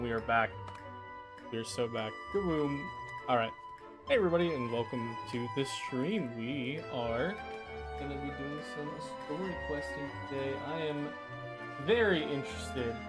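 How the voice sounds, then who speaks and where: A man chats as the host of a game livestream. His voice is medium in pitch, and he rambles casually with plenty of slang. Game music is clearly audible behind him. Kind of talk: casual live game stream commentary.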